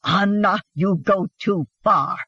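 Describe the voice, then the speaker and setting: A narrator voicing an angry, stubborn Swedish immigrant husband in audiobook dialogue, bitter and sarcastic, often shouting. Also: medium pitch, animated, normal speed, breathy edge, no room sound, lo-fi sound. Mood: furious shouting.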